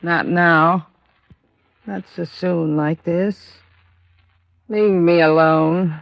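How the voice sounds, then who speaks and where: Radio drama widow: plain-spoken, bitter with grief, creaky, on a dry, dull vintage recording. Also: female voice, lowish voice, steady tone, extremely slow, dry recording, full character voice. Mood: bitter, upset. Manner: plaintive, plain uneducated speech. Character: grief-stricken working-class widow, bitter and defensive. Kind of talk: 1950s radio drama acting.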